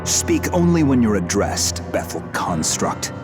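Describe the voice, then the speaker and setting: A man's voice: on the low side, very animated, a little slow. An actor playing a ruthless, contemptuous man who speaks curtly and coldly. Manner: cold, contemptuous, curt. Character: cold, ruthless young man, demon-fighter. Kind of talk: game cutscene acting. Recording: reverberant mix with loud game music.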